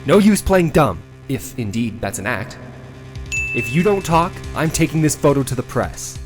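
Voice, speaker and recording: A man acts out a keen young defense attorney from a video game dub. His mid-range voice is animated and dramatic, slipping into wry, exasperated asides. Soft music sits behind him. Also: mid-range voice, normal speed, lightly acted. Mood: determined, bluffing.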